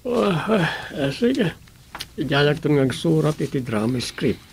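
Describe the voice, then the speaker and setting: An actor playing an opinionated, slightly defensive village man with an Ilocano accent and a gravelly, husky voice in a comedy radio drama. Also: a little high, sing-song, a little slow, slight background noise. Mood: sheepish, defensive.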